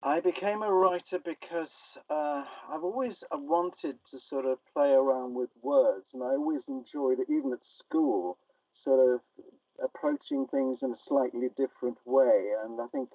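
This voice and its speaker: A man's voice: mid-range voice, unhurried, a little mellow, no room sound. An older man with a British accent answering interview questions conversationally as a playwright, a little hesitant, over a remote call line. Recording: dull, band-limited call line.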